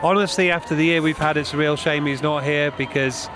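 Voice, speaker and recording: A male engineering chief answering interview questions outdoors in a measured, matter-of-fact way. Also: fairly high pitch, steady tone, music in the background. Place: outdoors at race paddock. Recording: noisy outdoor paddock ambience.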